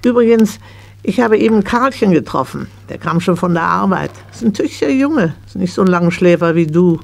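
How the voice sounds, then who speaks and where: A woman's voice: fairly low pitch, lively intonation, moderate pace, creaky, darkish tone. An actress playing a middle-aged, careworn shopkeeper mother in a children's radio play, grumbling and chiding. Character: scolding, careworn shopkeeper mother of a horse-mad girl.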